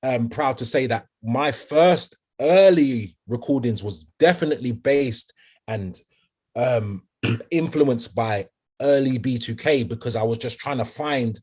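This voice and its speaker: Man, nasal voice, casual chat, animated, mid pitch. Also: a little slow, slightly rough. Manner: animated, repeats "do you get me". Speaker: podcast co-host.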